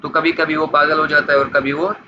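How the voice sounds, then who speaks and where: A man's voice: on the high side, level tone, brisk. A man giving an explanatory law lesson, reading statutes aloud and explaining them in a repetitive, question-and-answer way. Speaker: law lecturer. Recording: dull lo-fi mic with light background noise.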